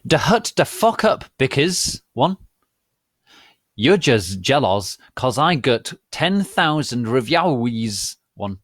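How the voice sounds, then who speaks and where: A man voicing a bratty, defensive teenage girl author, loud and whiny, in a comic dramatic reading. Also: medium pitch, big pitch swings, slow pace, no room sound, clean studio sound, voice pushed up, full character voice. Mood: bratty, defiant.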